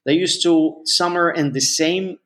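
A middle-aged man from Lebanon, a rabbi answering interview questions in a measured, halting way with many restarts. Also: a little high, a bit bright.

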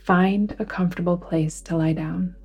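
A woman guiding a relaxation meditation in a soothing, deliberate manner, with phrases that sometimes fall to a hush. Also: lowish voice, fairly even intonation, husky, highs cut off, soft background music. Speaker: yoga nidra guide. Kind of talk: guided relaxation meditation narration.

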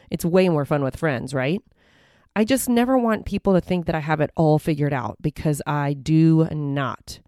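A woman in her forties, slightly hoarse, hosting a self-help podcast in a bubbly, encouraging way. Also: deep voice, a little mellow, no echo, studio quality. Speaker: podcast host.

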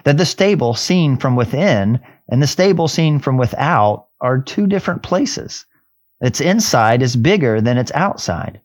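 A man's voice: mid-range voice, animated, unhurried, dry recording, clean studio sound, pitched above the performer's own voice. A man voicing a noble king in a storybook reading, with formal, declamatory, archaic phrasing. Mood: wondering.

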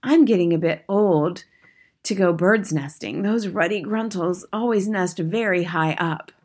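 A woman's voice: lowish voice, measured pace, a little mellow. A woman voicing an ageing witch from a storybook who mutters weary complaints. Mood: grumbling. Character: grumbling, ageing witch in the back row. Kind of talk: storybook character read aloud.

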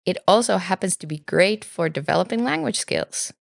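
A woman from Finland in her thirties, a native Finnish speaker, co-hosting a podcast with calm, explanatory reading. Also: on the low side, moderate pace, gravelly, no room sound, studio quality. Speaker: podcast co-host. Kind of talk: scripted podcast narration.

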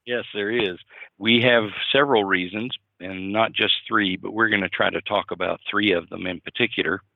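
A man, a clinical psychologist co-hosting a podcast, explaining in a measured way and repeating points. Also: on the low side, fairly even intonation, gravelly, no room sound, narrow, tinny audio.

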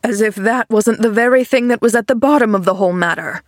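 A woman voicing a grumpy, bitter man in an audiobook, speaking in a gruff, testy and sarcastic way. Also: medium pitch, expressive, measured pace, no echo, clean studio sound. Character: grumpy, sardonic, bedridden man with a bitter temper. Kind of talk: audiobook character dialogue.

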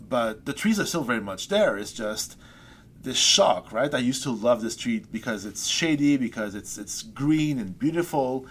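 A man, a poet being interviewed, talking things through slowly with many restarts and trailing pauses. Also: mid-range voice, fairly bright tone, breathy edge. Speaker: poet guest. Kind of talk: reflective interview chat. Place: Saskatoon resident.

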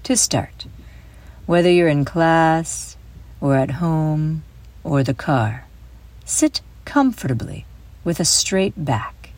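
A woman hosting a guided visualization for children, speaking in a soothing, deliberate way with gentle emphasis. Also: deep voice, husky. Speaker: host and guide of a children's mindfulness audio show. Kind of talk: guided visualization, scripted narration for kids.

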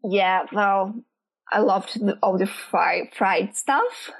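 Brazilian woman interviewee, non-native English, vocal fry, thoughtful and searching. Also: mid-range voice, fairly even intonation, takes it slowly, poor audio. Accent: Brazilian Portuguese. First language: Portuguese. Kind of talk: casual interview chat.

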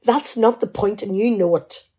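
A woman playing a nagging, exasperated, sharp-tongued middle-aged wife in a radio play. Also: medium pitch, moderate pace, slightly rough, lightly acted. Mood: indignant. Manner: exasperated, sarcastic scolding.

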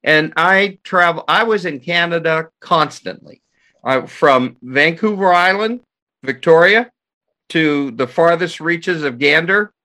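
An older man from the United States, a guest recalling his story in conversation, talking in energetic bursts with frequent restarts. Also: a little high, measured pace. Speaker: guest, co-founder of an institute. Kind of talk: conversational recollection.

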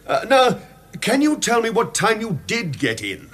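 An actor playing a fussy, official coroner in a radio drama courtroom, with a formal, clipped English accent. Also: male voice, sits high, wildly swooping pitch, unhurried, fairly bright tone, husky, heavily acted. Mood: brisk, formal.

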